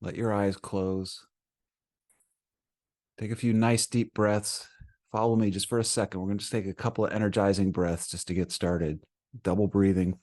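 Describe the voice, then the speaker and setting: Male meditation guide: lower-pitched voice, soothing and gentle delivery, unhurried phrasing with plenty of pauses. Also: steady tone. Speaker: meditation teacher.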